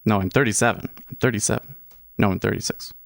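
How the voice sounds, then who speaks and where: Rambling thirties man, low and dry. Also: quick pace, vocal fry, no echo. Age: 30s. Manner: rambling, with many restarts and asides. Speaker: livestream host, day trader. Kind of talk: casual livestream commentary.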